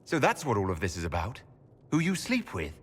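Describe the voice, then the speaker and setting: An actor playing a calm male hero and leader in a video game, probing and gently concerned. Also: on the low side, fairly quick, husky, heavily acted. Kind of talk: dramatic video game acting.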